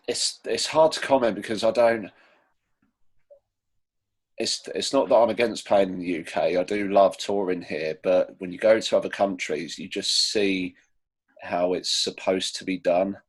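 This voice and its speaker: A British man with a deep, low voice talks casually as an interviewed musician. His answers are informal and rambling, with a hesitant way of getting to the point. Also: steady tone, unhurried, husky. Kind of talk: casual chat.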